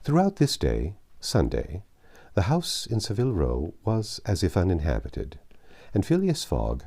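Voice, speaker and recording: A man narrating a classic novel in an expressive, measured style with dramatic pauses. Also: deep voice, vocal fry, slightly rough. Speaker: audiobook narrator. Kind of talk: expressive literary storybook narration.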